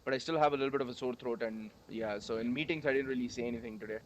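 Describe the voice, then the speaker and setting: A man's voice: mid-range voice, racing, a little hiss or hum. A young man with a sore throat from a cold, chatting casually and rambling while coding on a livestream. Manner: rambling, chatty, many fillers.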